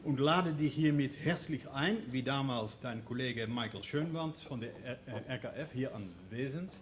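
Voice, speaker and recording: A Dutch-speaking man delivers a formal ceremonial speech in an earnest, measured way. His pitch sits in an ordinary middle range, with a faint hum of the room behind him. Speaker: orchestra organization director giving a speech. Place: hall at a contract signing ceremony.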